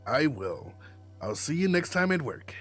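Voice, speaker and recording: A streamer plays a courteous young man from a visual novel, pitched low and spoken calmly. He is considerate and measured, with faint music behind him. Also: breathy edge, heavily acted. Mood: resigned, polite. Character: polite, considerate young male coworker on a date.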